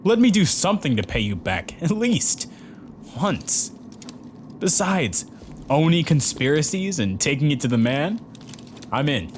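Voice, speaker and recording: A man's voice: sing-song, measured pace, long pauses. A man playing an eager young military hacker in a sci-fi audio drama, casual and wisecracking. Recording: loud vehicle rumble behind.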